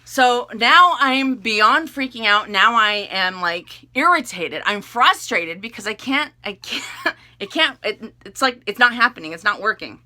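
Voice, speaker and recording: A woman vlogger telling a story to camera in a loud, animated, rambling way. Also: a little high, unhurried. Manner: animated, loud, not soft-spoken; rambling with many fillers and restarts.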